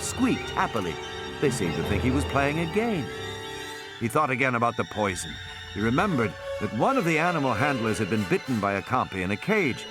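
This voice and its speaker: A man narrating a suspense novel as an audiobook, in an expressive, dramatic delivery. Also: medium pitch. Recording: added music and sound effects underneath. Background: music and sound effects.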